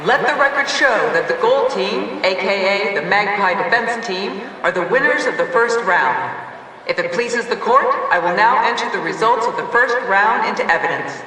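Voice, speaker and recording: Bright, echoing, pompous court crier. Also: female voice, mid-range voice, expressive, normal speed. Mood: pompous, official. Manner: pompous, legalistic. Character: ceremonious, officious court crier and referee. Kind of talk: formal proclamation. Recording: roomy echoing hall, distant, faint noise.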